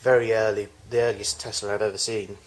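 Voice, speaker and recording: A man's voice: lowish voice, little pitch movement, fast talker. A man giving an unscripted tour of his collection in a home video, recorded in a garage on amateur camcorder audio.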